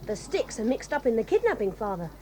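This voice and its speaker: An actress playing a brisk, plucky girl in an audio drama. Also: on the high side, very animated, mellow.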